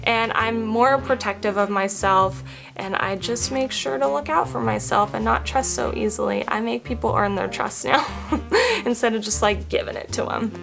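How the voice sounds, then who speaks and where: A woman's voice: a little high, gravelly, over music. Chatty woman in her thirties, frank. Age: 30s. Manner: frank and chatty, with quick restarts. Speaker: vlogger answering fan assumptions. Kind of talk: casual talk to camera.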